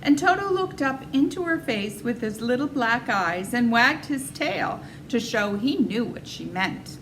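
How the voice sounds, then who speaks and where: Higher-voiced woman reading a children's story. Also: a bit bright, slight background noise, poor audio. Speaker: storybook reader. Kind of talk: read-aloud children's story narration.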